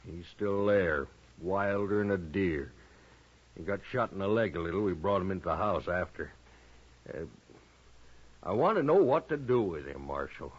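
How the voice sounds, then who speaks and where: Husky, folksy, halting frontier rancher. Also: male voice, low voice, lively intonation. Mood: worried. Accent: American rural Western. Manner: drawling, halting, plainspoken. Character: folksy, uneasy frontier rancher and father, hesitant. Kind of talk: 1950s Western radio drama acting. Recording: dull lo-fi old radio recording.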